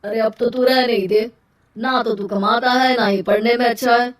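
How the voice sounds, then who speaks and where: A woman voicing a frank, teasing young man, a mocking friend in an animated moral story. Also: fairly high pitch, moderate pace, lightly acted. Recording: roomy echo, distant from mic.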